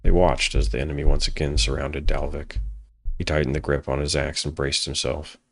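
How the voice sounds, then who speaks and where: A man narrating a scripted fantasy story in a solemn, measured manner. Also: rumbling low, little pitch movement, gravelly, over loud music.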